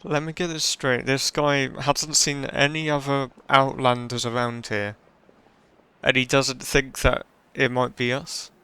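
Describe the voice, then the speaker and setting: A man's voice: medium pitch, little pitch movement, unhurried, sharp tone, clear, resonant tone. A man giving casual gaming commentary, thinking aloud as he plays. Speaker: gaming streamer. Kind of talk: casual game playthrough commentary.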